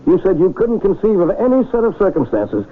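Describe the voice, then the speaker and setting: Earnest, high-voiced small-town mayor. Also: male voice, sing-song, brisk. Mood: troubled, defiant. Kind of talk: 1980 radio drama acting. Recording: noisy, tinny old radio broadcast recording.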